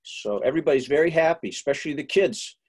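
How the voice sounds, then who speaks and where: A man's voice: a little high, animated, fast talker, darkish tone, breathy edge. Chatty middle-aged man telling stories. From Massachusetts. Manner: chatty, joking, many fillers and restarts. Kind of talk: relaxed interview storytelling.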